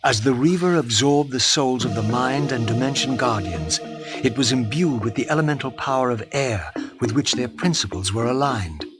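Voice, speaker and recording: A grave, defiant wraith played by a man tells his tale in a fantasy game. The voice is solemn and measured, set against very prominent music. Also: medium pitch, expressive, natural voice. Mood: solemn, resolute. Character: grave, defiant undead male wraith seeking his destiny. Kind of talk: theatrical scripted game narration.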